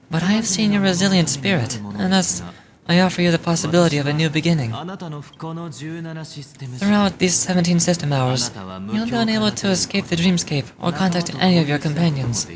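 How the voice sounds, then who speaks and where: A woman's voice: on the low side, noisy background, pitched below the performer's own voice, lightly acted. A woman voicing a stern, sanctimonious male religious judge from a video game, speaking formally and measuredly. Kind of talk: reading game dialogue aloud in character.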